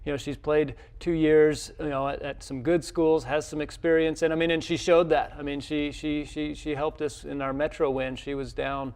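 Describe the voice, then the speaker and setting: Male college coach, slightly high voice, casual interview, halting and repetitive delivery. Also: level tone, darkish tone, clean tone. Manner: halting, repeats words and restarts often.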